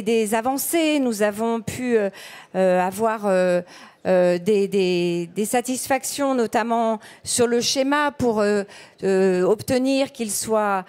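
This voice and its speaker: A woman's voice: on the high side, a little mellow. A woman elected official on a panel, speaking with frequent hesitations and fillers. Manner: hesitant, filler-heavy speech. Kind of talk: conference panel answer.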